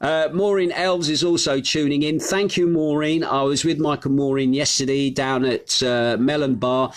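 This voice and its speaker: A man's voice: on the high side, fairly even intonation, moderate pace. A middle-aged man from the United Kingdom hosting a live stream from his home, chatting casually and reading out viewers' names with plenty of fillers. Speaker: host of a live news stream. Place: his home on a Greek island.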